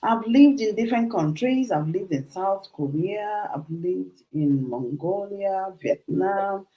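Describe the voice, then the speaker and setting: Middle-aged woman, interview guest. Animated, laughs often, loose conversational delivery. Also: medium pitch, slow pace, rough recording. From Nigeria. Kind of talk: casual interview talk.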